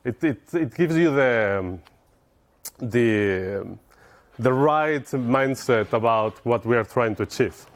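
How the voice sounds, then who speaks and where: A man's voice: mid-range voice, takes it slowly. Spanish-accented man giving a tech talk. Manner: informal, explanatory, addresses audience with questions. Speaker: conference speaker. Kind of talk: technical conference talk. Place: conference lecture room with audience.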